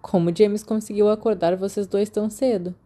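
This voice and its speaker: A tired, stubborn Brazilian schoolboy, played by a woman narrator in an audiobook. He talks casually, trying to persuade, in a mellow voice. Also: medium pitch, steady tone, moderate pace, close to an everyday voice. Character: weary, stubborn teenage schoolboy, pleading and understated. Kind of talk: audiobook character voice.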